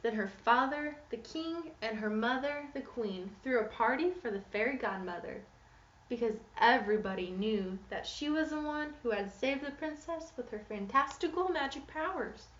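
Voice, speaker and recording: Female teacher for an interactive picture-book read-aloud, expressive storyteller, echoey and slightly muffled room sound. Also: a little high, moderate pace, recorded from a distance. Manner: expressive storytelling, posing questions to young listeners.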